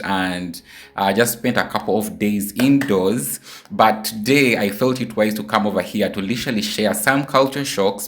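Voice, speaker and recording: A man in his twenties from Uganda, talking casually and directly to viewers in a travel vlog. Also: lowish voice. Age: 20s. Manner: chatty, repeats place names, addresses viewers directly. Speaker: vlogger.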